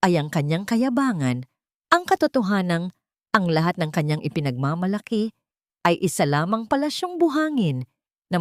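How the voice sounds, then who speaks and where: A woman with a Filipino accent narrating a scripted dramatic story in a measured, theatrical way. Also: fairly low pitch, a little mellow, dry recording, clean studio sound.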